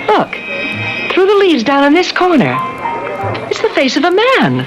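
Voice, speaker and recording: An actress playing an enthusiastic, observant young married homeowner in a 1970s American radio drama. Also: female voice, extremely high pitch, huge pitch swings, moderate pace, highs cut off, full character voice. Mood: curious, insistent. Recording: vintage radio drama broadcast with music.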